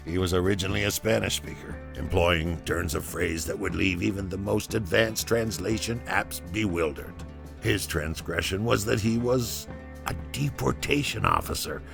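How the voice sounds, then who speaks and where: A wry, rough-edged rebel storyteller, speaks low with a very heavy creak. He is foul-mouthed and sardonic, spinning a first-person audio drama tale in a chatty yet theatrical manner. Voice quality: gravelly, low.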